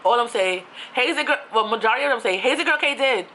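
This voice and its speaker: A woman's voice: a little high, racing, a little hiss or hum. A young woman from New York, ranting casually on her own vlog in a chatty, slangy way. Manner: chatty, animated, with slang and asides. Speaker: YouTuber.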